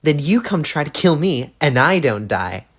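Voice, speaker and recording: A man's voice: a little high, big pitch swings, moderate pace. A man voicing a scheming, smug troll girl who taunts in a sly way, for a fan audio drama. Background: music.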